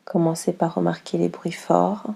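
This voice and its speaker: A woman leading a guided yoga nidra relaxation, speaking slowly and calmly in a soft, breathy voice with long pauses. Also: lowish voice. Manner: slow, calm, soothing instruction with long pauses.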